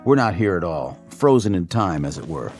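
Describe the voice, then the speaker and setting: A man's voice: deep voice, very animated, moderate pace, soft background music. An actor playing a nervous, officious alien escort to a king, prim and formal in a radio drama.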